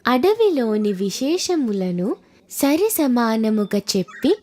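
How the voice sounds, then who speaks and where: A woman reads a children's animated moral story. Her voice is high and expressive, and she moves slowly through short, simple phrases. Music plays behind her. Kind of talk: scripted children's story narration.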